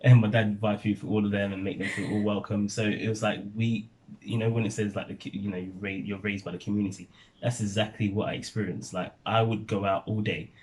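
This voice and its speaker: Low-voiced guy from nigeria vlogging, laid back, laughs a lot. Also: fast, rough recording. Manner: relaxed storytelling, laughs often. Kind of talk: casual chat.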